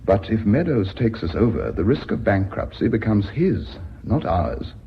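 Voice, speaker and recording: An actor playing an earnest, persuasive company executive in a British radio play, speaking formally and deliberately. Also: male voice, on the low side, very animated, gravelly, slightly rough, heavily acted. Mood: calm, tactical. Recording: dull, faintly noisy old radio recording.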